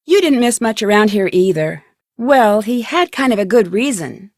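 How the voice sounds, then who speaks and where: A woman's voice: medium pitch, animated, normal speed, darkish tone, no echo, clean studio sound, close to an everyday voice. A woman voicing a young female amateur detective in an adventure video game, polite, earnest and curious. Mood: friendly, curious. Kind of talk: scripted adventure game dialogue.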